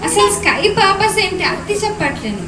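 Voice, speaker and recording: A woman's voice: very high voice, very animated, a little fast. A woman voicing an earnest, puzzled big sister puppet in a live puppet show, asking polite, ponderous questions. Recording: echoey, distant, noisy hall.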